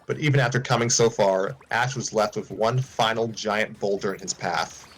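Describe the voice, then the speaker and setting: A man reads a fan story aloud in a casual way, often tripping over words and starting lines again. His voice sits low with a husky grain. Soft music plays faintly behind him. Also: moderate pace, poor audio. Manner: frequent stumbles and restarts. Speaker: streamer reading fan fiction aloud.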